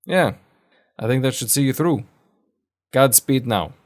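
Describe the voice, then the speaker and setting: A man voicing a kindly, cryptic old man who gives folksy, unhurried advice in an audio drama. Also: mid-range voice, lively intonation, voice pushed up, close to an everyday voice. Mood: wise, advising. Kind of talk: audio fiction character voice.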